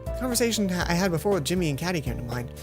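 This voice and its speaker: A woman with a slightly low voice reads a visual novel aloud as a let's play host. She now and then trips over a line and takes it again. Music plays clearly behind her. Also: very animated, racing. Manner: stumbles over lines and rereads them.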